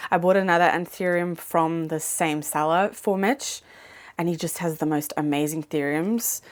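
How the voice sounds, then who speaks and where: A woman with a slightly low voice chats casually to her viewers, rambling and restarting her sentences, filling gaps as she goes. English is not her first language, and she talks from home. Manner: chatty, rambling, with many fillers. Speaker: plant vlogger. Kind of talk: casual chat. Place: home, indoors.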